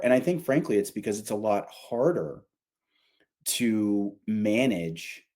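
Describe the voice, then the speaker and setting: This is a man with a low-pitched, very mellow voice. He talks as an executive guest in a casual interview, putting his opinions in colloquial, emphatic terms. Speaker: company revenue executive, podcast guest.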